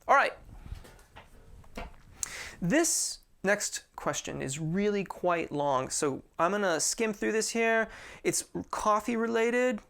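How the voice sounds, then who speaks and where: A man's voice: sits high, animated, slow pace, creaky, pauses often, a bit bright, clean tone. A man who teaches singing, answering viewer questions in a casual, friendly way and often restarting his sentences. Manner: chatty and informal, with frequent restarts.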